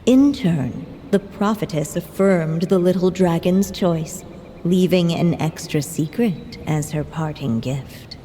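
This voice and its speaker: A woman reading a fairy tale as a scripted storybook narration, in a gentle, measured way. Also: fairly low pitch, slight background noise. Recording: game narration with reverb and faint ambience.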